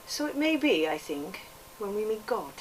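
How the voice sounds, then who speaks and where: A woman voicing an earnest Welsh boy narrator from a storybook memoir, with a put-on Welsh accent and a lilting, measured, lyrical delivery. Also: medium pitch, very animated, natural voice. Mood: earnest, wistful. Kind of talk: expressive literary reading. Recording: distant microphone, background noise.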